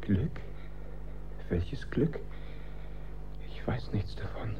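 A man's voice: lowish voice, expressive, very slow, whispered. An actor playing a timid, dreamy young emperor in a German radio drama, formal, hesitant and pleading as he defers to his mother. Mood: bewildered, deferential. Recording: dull recording with clear noise.

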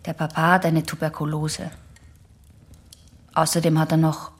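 An actress in a German radio drama playing a guarded, defiant eighteen-year-old patient, terse and skeptical. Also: female voice, low-pitched, little pitch movement, moderate pace, slightly rough.

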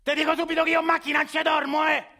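An actor playing a cranky, foul-mouthed ninety-year-old grandfather with a Roman accent, cursing and shouting his rants in a radio play. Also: extremely high pitch, level tone, very fast, voice pushed up. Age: 90s. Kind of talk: radio theatre acting.

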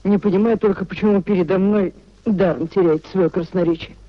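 A woman acts the proud wife of a high official in a 1950s radio drama. Her delivery is theatrical, cool and imperious, with little patience. The sound is slightly dull, like an old broadcast. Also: fairly even intonation, brisk, lightly acted. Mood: impatient.

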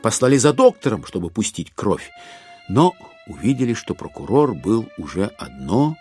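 A male narrator reads classic literature in a dramatic, scripted style. His lowish voice is lively and varied, with ironic, deliberate phrasing over soft music.

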